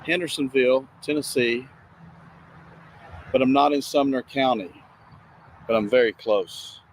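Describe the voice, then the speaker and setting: Halting man narrating outdoors over noise. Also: medium pitch. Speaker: on-the-scene video streamer. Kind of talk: casual on-location narration. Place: outdoors by a road and woods. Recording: outdoor noise, distant freeway. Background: freeway and ambient outdoor noise.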